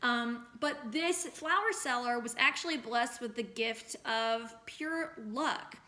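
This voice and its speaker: A woman teacher reads and explains a story for children. Her voice is bright and a little high, and she phrases things expressively, with deliberate emphasis. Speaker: teacher reading aloud to children. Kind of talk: expressive storybook read-aloud with lesson questions.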